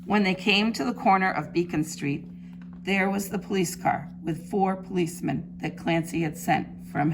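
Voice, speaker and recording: A woman reads a picture book to young children with plenty of expression and emphasis. Her voice sits fairly low and has a gravelly creak. There is faint noise behind her. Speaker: preschool teacher reading aloud. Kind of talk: animated children's storybook reading.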